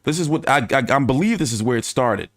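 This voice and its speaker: A man's voice: medium pitch, animated, fast, no room sound, clean studio sound. A man hosting a boxing news channel in casual fan chat, talking loosely with cursing and repeating his phrases.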